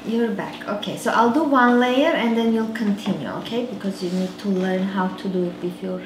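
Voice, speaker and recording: A woman's voice: medium pitch, fairly even intonation, normal speed, noisy background. A woman in her thirties with an Armenian accent hosts a home cooking show, chatting and teasing her child as she demonstrates a recipe. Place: large home kitchen. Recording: roomy kitchen with dish and cutlery clatter. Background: clinking dishes and utensils.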